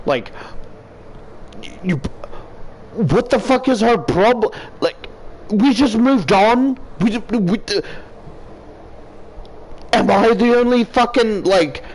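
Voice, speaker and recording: A man's voice: high voice, lively intonation, slow, long pauses, low-quality recording. A man giving a casual, rambling game review in a profane, exasperated, ranting manner with emphatic repetition. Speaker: video game reviewer, YouTuber.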